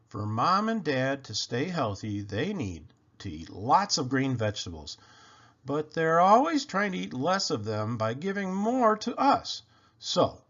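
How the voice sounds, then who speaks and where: A man reads a picture book aloud for children with playful emphasis. His voice sits a little high and swings widely up and down. The recording is slightly dull and lo-fi. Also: a bit bright. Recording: dull, lo-fi sound.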